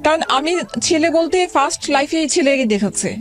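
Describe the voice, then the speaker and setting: A woman in her forties telling her life story as an interview guest, halting and hesitant, with many fillers and restarts. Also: high voice, lively intonation, faint music behind.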